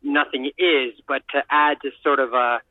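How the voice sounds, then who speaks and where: A man calling in as a magazine editor, enthusiastic and rapid, full of fillers. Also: medium pitch, little pitch movement, no echo. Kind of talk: casual radio chat. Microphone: phone line. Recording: tinny phone line.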